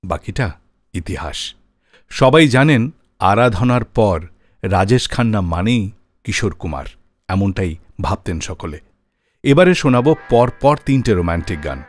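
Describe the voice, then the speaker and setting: A man hosting a music radio show, telling scripted stories with dramatic pauses and phrase endings that trail off low.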